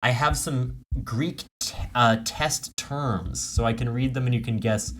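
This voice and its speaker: A man's voice: mid-range voice, measured pace, a little hiss or hum. A man co-hosting a podcast in casual, conversational chat. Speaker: podcast co-host.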